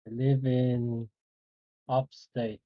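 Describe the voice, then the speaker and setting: A man from China with a Chinese accent, calling in and speaking haltingly as he searches for words. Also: mid-range voice, flat delivery, dry recording. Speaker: caller. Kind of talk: casual chat. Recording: dull lo-fi call audio.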